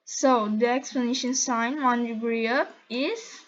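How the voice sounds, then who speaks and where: A high-voiced woman from Brazil speaks English as she explains math symbols. She talks slowly and haltingly and keeps correcting herself. The home recording sounds dull and lo-fi. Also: steady tone, a little hiss or hum. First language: Portuguese. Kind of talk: unscripted explanation while drawing.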